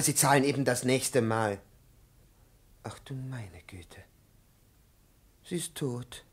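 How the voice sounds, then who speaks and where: An actor playing a nervous, volatile middle-aged professor in a 1950s radio play, agitated and evasive, repeating himself in a strained, husky voice. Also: male voice, mid-range voice, full character voice. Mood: spent, dazed. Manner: agitated, pleading, stammering repetitions. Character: nervous, volatile male professor, murderous yet childishly evasive. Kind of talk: 1950s German-language radio play acting.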